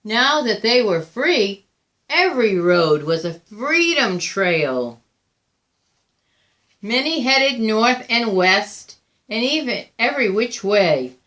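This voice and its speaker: A woman reading a children's picture book aloud with deliberate, expressive phrasing. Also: a little high. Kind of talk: read-aloud children's storytelling.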